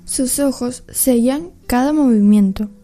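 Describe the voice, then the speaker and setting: Female storyteller for radio drama: very warm, dark timbre, slightly high, reflective scripted read. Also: unhurried, faint music behind. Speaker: story narrator.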